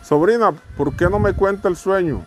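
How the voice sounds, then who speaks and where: A man's voice: high-pitched, moderate pace, loud music behind the voice, natural voice. A man acting a grave uncle who interprets dreams, solemn and foreboding, in an amateur radio drama. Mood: calm, inquiring.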